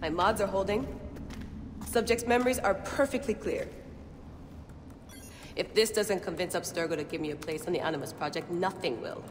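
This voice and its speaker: Actress as curt researcher in reverberant space. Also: female voice, on the low side, normal speed, long pauses, lightly acted. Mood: dry, defiant. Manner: dry, curt. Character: stubborn, defiant, ambitious female researcher. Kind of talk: naturalistic video game acting. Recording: long reverb, background noise.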